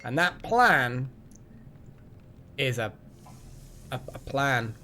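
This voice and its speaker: A man's voice: mid-range voice, sing-song, extremely slow, bright, forceful tone, slight background noise. A man hosting a live game stream, chatting casually and rambling as he thinks aloud. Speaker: game livestream host. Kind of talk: casual live gaming chat.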